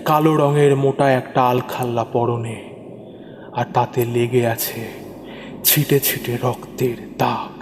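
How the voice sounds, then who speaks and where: A man's voice: mid-range voice, steady tone, measured pace, background noise. Reverb-drenched man narrating horror. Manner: suspenseful, theatrical, repeats phrases for effect. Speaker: narrator of horror audio stories. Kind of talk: dramatic first-person horror story narration. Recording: long reverb over prominent music. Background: music.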